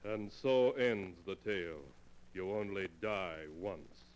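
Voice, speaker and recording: Portentous male radio announcer, faintly noisy. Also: deep voice. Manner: portentous, deliberate phrasing, theatrical. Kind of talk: 1940s radio mystery announcing. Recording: noisy vintage 1940s radio broadcast.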